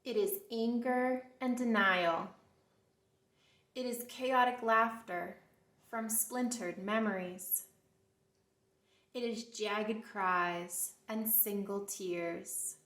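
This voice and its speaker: In a roomy, echoing space, a woman reads verse solemnly. Her pitch is medium and her delivery is slow. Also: fairly bright tone. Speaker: poetry reader. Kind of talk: solemn spoken poetry reading. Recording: echoey room.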